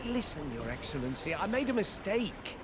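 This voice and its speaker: Game role: evasive, anxious customs officer, very animated pitch, hesitant and servile, clear ambient noise behind. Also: male voice, moderate pace. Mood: flustered, defensive. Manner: hesitant, stammering, deferential. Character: evasive, nervous, beleaguered male customs officer. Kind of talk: video game cutscene acting. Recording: noisy outdoor ambience behind the voice.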